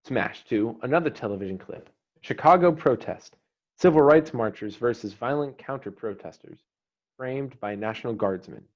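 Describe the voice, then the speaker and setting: A man's voice: medium pitch, lively intonation, moderate pace. Terse male script narrator, slightly dull audio. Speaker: stage-direction narrator.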